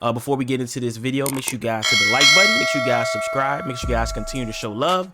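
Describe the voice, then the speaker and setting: A man's voice: mid-range voice, rapid-fire, heavy background noise. Sick young man chatting football picks. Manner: slangy, repetitive, rambling. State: sick, under the weather. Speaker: sports picks vlogger. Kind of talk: casual sports predictions chat.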